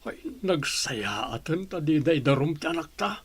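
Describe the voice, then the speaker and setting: A man playing a concerned, curious husband and father in a radio drama, questioning in a husky voice. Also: a little high, animated, normal speed. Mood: curious, relieved. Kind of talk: Filipino radio drama acting.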